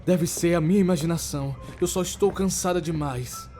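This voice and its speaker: A man's voice: fairly high pitch, brisk, darkish tone, faint music behind, close to an everyday voice. A Brazilian man voicing a frightened, suspicious young woman caregiver in a horror storybook, anxious and questioning. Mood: uneasy.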